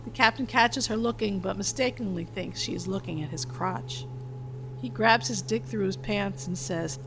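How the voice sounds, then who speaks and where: A woman's voice: mid-range voice, normal speed. A woman narrator one would hear on a homemade script-reading podcast, laying out stage directions over faint noise.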